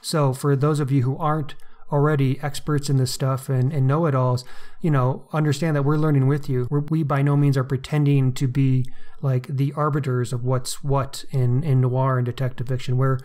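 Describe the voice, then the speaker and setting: A man's voice: medium pitch, little pitch movement, moderate pace, darkish tone. A male podcast co-host with a mid-range voice. He talks casually and conversationally, with an enthusiastic way of putting things.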